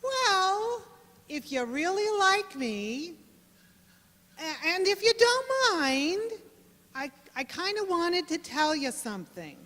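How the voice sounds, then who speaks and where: A woman playing a timid, ditzy gangster's sweetheart with a halting manner in an amateur radio play. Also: extremely high pitch, big pitch swings, sharp tone, exaggerated voice. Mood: coy, nervous. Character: timid, hesitant, ditzy gangster's doll queen. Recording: echoey reverberant hall.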